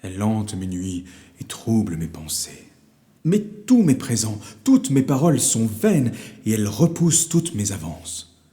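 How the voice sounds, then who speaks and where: A man's voice: fairly low pitch, big pitch swings, measured pace, warm tone. A man voicing a grave, lovesick king in an audio storybook, with solemn, emphatic and formal speech. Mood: anguished pleading.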